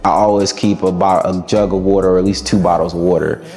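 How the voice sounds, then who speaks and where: A young adult man with a raspy voice, a musician guest answering interview questions in a laid-back, reflective way with casual slang. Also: low voice, fairly even intonation, fast talker, darkish tone, soft background music.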